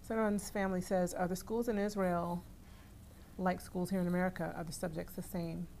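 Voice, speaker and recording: A woman chats casually on a livestream at home, reading out viewer comments and answering them. Her voice sits a little low, and she laughs easily and breaks off to speak to family nearby. A faint hiss of noise runs under the recording. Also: little pitch movement, brisk, creaky. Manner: chatty and warm, laughs often, talks aside to family. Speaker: livestream host.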